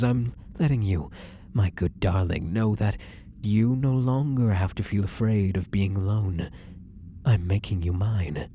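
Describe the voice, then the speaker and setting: A man performs a possessive male therapist, hopelessly devoted, in an intimate ASMR roleplay. His voice is lowish and dark, murmuring tenderly and dipping into whispers. There is faint background noise under the voice. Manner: soft, slow coaxing murmur slipping into whispers. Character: possessive, obsessively loving male fake psychiatrist, tender stalker.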